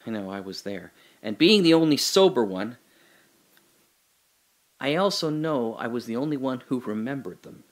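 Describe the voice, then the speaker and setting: An actor playing a lone young man trapped in the dark, talking to himself in a restless, rambling way. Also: mid-range voice, animated, normal speed, pauses often, lightly acted. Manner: restless, talking to himself, swings from hushed to loud. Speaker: radio drama actor. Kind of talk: solo audio drama monologue.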